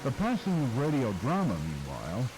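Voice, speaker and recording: A man's voice: mid-range voice, huge pitch swings, moderate pace, background noise. A man reading a scripted narration for a documentary-style program. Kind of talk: scripted narration about radio history.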